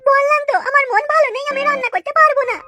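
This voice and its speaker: A woman's voice: extremely high pitch, very fast, over loud music. A woman playing an upset, stubborn daughter-in-law in an amateur cartoon dub. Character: distraught, stubborn daughter-in-law searching for missing child. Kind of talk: amateur Bengali cartoon dubbing.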